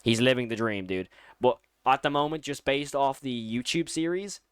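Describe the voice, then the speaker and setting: Male online host, medium-low pitch, crisp and dry sound, casual, opinionated and enthusiastic delivery with informal slang. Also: lively intonation, moderate pace, dry recording. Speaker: YouTube host ranking horror series.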